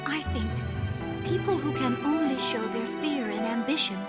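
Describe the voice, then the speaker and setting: A woman playing a gentle, earnest, sorrowful nun in a video game drama, solemn and pleading. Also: high-pitched, normal speed, lightly acted. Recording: loud music under the voice. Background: loud dramatic music.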